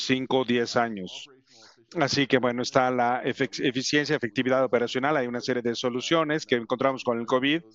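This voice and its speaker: A man with an English accent and English as his first language, giving a conference presentation in a halting way, with many fillers and restarts. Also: medium pitch, muffled recording. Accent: English speaker's Spanish. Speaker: company representative presenting to educators.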